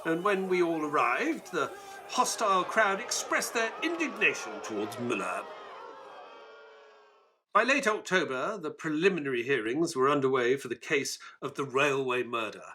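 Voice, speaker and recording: An actor playing an earnest Victorian gentleman doctor who narrates a case in an audio drama. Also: a little high, expressive, moderate pace, a little hiss or hum. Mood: earnest storytelling.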